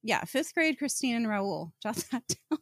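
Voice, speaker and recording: A woman's voice: on the high side, very animated, moderate pace, a bit bright, no room sound. Female podcast co-host, slangy and wry, playfully dramatic, chatting casually about books in a dry, close recording. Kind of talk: casual book discussion podcast.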